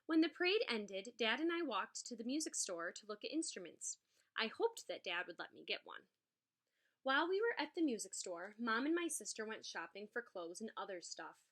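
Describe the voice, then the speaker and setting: A woman reading a picture book aloud as a teacher, adding expressive explanatory asides for young children. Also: fairly high pitch, moderate pace.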